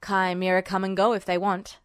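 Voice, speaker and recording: A woman's voice: mid-range voice, flat delivery, a little fast, smooth, no echo, clean studio sound, voice pushed down, lightly acted. A woman voicing a cocky, sarcastic boy thief who talks in clipped, broken phrases. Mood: sarcastic, smug.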